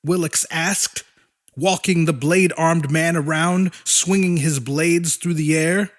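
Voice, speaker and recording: A man's voice: a little high, fairly even intonation, slow. A man narrating a fiction audiobook in a dramatic, scripted storytelling style.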